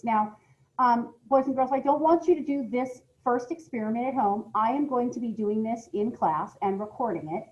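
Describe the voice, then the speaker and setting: A woman's voice: a little high, a little mellow. A woman reading a lesson aloud as a schoolteacher, in an instructional, emphatic way, often putting questions to her young listeners. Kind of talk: read-aloud science lesson with asides to children. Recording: dull, lo-fi audio.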